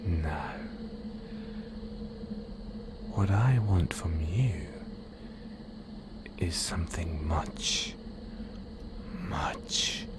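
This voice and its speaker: Slow, whispering predatory werewolf. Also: male voice, deep voice. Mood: hungry, teasing. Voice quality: breathy whisper. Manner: slow, menacing, seductive. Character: predatory, possessive male werewolf stalking his chosen mate. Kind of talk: intimate audio roleplay.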